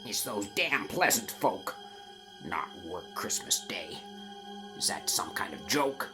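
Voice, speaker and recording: A man's voice: on the low side, sing-song, slow pace, over music, pitched below the performer's own voice. Man acting a snarling, grouchy old miser. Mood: cranky. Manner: curt, snarling, dismissive. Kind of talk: rhyming verse storytelling.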